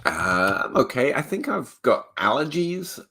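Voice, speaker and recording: A man hosting a fantasy football podcast, walking through stats in a measured way with dry humor, his nose a little stuffed up. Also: medium pitch, very animated, vocal fry, pushed and bright, slightly rough. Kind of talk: analytical podcast talk.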